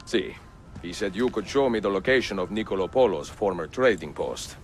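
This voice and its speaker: An actor playing an older Italian master assassin, courteous and grave, with an Italian accent and measured, formal delivery in a video game. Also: male voice, deep voice, animated, faint music behind. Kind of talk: cinematic video game acting.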